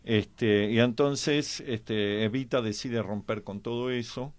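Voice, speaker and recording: An Argentine man in a conversational history panel, speaking haltingly as he searches for his words. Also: medium pitch, steady tone, no room sound. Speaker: panelist on a history and politics programme.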